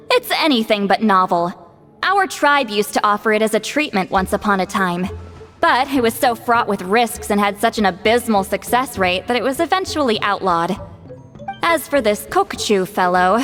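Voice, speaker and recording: A woman voicing a brisk, no-nonsense granny of a tribe, sharp but caring, in a video game. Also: mid-range voice, animated, natural voice. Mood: matter-of-fact. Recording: soft game music behind the voice.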